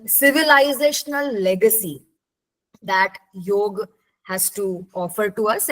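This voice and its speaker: A woman hosting a session over a video call, speaking slowly and graciously in a mid-pitched voice. Her sound comes through a webcam mic. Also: low-quality recording. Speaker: session moderator. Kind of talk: video call thank-you remarks.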